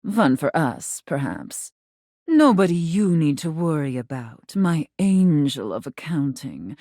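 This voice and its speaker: A low-voiced, imperious game villainess, sadistic and haughty. She takes her time and swoops from purr to bite, very close and dry. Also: sing-song, mellow, no room sound, full character voice. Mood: haughty command. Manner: haughty, slow, menacing, scornful. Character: domineering, sadistic attic mistress, haughty woman. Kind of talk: theatrical video game character acting.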